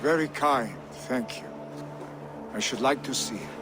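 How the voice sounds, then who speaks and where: A man's voice: medium pitch, unhurried, lightly acted. A man acting a grieving, devoted son of a Norse chieftain in a video game cutscene, subdued and sincere. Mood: subdued, fond. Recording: music behind the voice.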